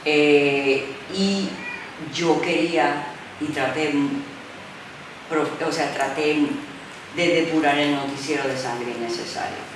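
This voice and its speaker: A middle-aged Puerto Rican woman, a journalist, answering interview questions in an animated way, with many "eh" pauses and English fillers. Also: low voice. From Puerto Rico. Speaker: journalist, former news director. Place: art gallery space. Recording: echoey room, mic far off, noisy.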